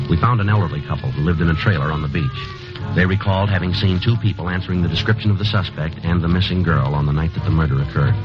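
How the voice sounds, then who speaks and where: A man's voice: low-pitched, close to an everyday voice. A man playing a terse, methodical police sergeant who narrates and questions in a 1950s radio drama, clipped and matter-of-fact, over music on an old lo-fi broadcast.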